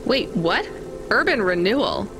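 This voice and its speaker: A woman's voice: mid-range voice, very animated, a little slow. A woman voicing a wry, level-headed young delivery driver in a video game, with a dry, questioning manner. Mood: curious, wry. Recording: music behind the voice.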